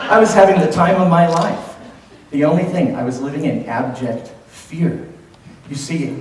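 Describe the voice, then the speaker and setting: A man of fifty with a Californian American accent tells a humorous story as a contest speech, theatrically, with dramatic pauses and emphasis. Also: mid-range voice. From Los Angeles, United States. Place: indoor room at a speech contest, audience present. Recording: echoey room from far off, faint noise.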